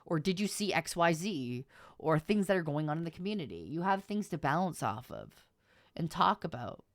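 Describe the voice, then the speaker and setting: A woman's voice: on the low side, very animated, normal speed, no echo, studio quality. A woman streamer giving advice to her viewers in informal livestream chat, repeating her points for emphasis.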